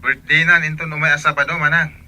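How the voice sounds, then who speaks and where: An actor with an Ilocano Filipino accent playing a breezy, demanding younger brother in a radio drama. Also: male voice, on the high side, flat delivery, fast talker, sharp tone, rough recording. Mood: breezy, asking.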